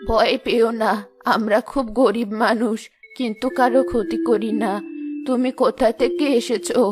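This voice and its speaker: A woman's voice: on the high side, fairly even intonation, moderate pace, natural voice. A kindly old village grandmother , played by a woman, speaks in a plaintive, tender way. It is cartoon storybook acting with music behind. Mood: gentle concern. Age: older. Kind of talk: cartoon fairy-tale acting.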